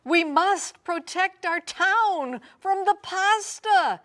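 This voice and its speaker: Panicked villagers from a storybook acted out by a woman cry out in alarm. The voice is raised high and swoops dramatically, with urgent words stretched out slowly. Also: expressive, a bit bright, full character voice. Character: panicked townspeople and mayor crying out in alarm.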